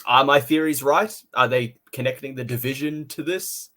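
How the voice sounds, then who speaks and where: A man co-hosts a casual review chat for fellow fans. He is enthusiastic and jokey, and he tends to stumble and repeat words while getting his thought out. His pitch sits in an ordinary middle range for a man. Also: normal speed, sharp tone. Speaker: podcast co-host and reviewer.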